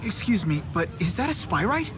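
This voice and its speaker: A man's voice: high voice, big pitch swings, moderate pace, music in the background, a crowd in the background. Earnest, curious young man. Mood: polite, curious. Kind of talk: video game voice acting.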